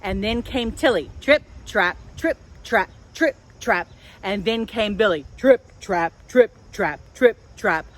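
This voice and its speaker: A woman hosting a children's storytime outdoors, telling things in simple, deliberate phrases for small children. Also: mid-range voice, animated. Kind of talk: storytelling and guided talk for young children. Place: outdoors in a public park. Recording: outdoor park with faint background noise.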